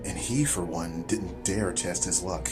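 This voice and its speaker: A man narrating a scripted audiobook story in a measured, deliberate, dramatic storytelling style. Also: sits low, fairly even intonation, music in the background.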